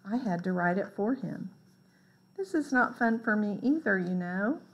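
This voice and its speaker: A woman reading a children's picture book aloud in a deliberate, expressive way. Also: medium pitch, lo-fi sound. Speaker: read-aloud storyteller.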